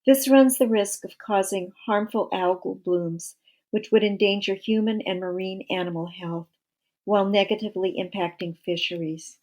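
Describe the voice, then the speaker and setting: A woman reading scripted non-fiction narration for an audiobook in a measured, explanatory way. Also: mid-range voice, fairly even intonation, darkish tone.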